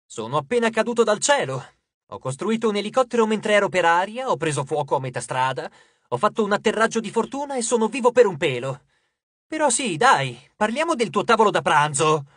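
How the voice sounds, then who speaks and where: A man's voice: sits high, big pitch swings, a little fast, a bit bright, no room sound, studio quality, heavily acted. A man voicing a sarcastic, scrappy teenage demigod boy in an audiobook, with a flippant, wisecracking manner. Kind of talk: audiobook character voice.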